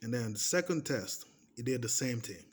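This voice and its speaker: A man in his thirties speaking English with a Portuguese accent, his first language being Portuguese. His voice sits at an everyday middle pitch. He talks to camera in a casual, emphatic way, repeating himself and stacking restarts as he drives each point home. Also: lively intonation, moderate pace, slightly rough. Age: 30s. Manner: emphatic and repetitive, restarting phrases and pressing points with "okay?". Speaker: vlogger. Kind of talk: casual personal monologue to camera.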